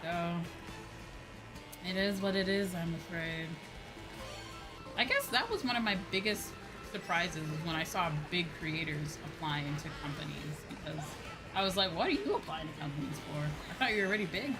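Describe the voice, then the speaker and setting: A woman with a fairly low voice chats casually on a gaming livestream. She muses aloud in an informal way, often repeating her phrases. Music plays clearly behind her. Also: lively intonation, moderate pace, poor audio. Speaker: streamer. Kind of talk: casual chat while livestreaming a video game.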